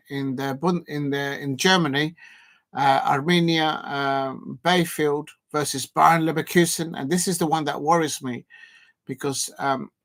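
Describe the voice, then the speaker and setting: A man's voice: on the high side, fairly bright tone. Hesitant man hosting a football watch-along. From Colombia. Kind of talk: casual live match commentary.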